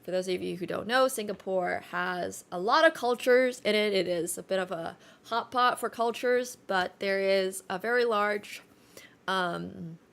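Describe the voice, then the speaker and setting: A woman's voice: mid-range voice, gravelly, a bit bright. A young woman whose first language is Chinese, chatting casually on a livestream, rambling with lots of fillers and self-corrections. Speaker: streamer.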